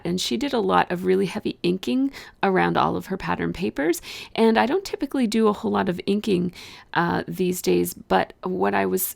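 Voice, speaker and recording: A woman, hoarse from a cold, chatting casually through a voice-over of her scrapbooking process and explaining each step with lots of fillers. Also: medium pitch, no echo. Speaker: scrapbooking video host.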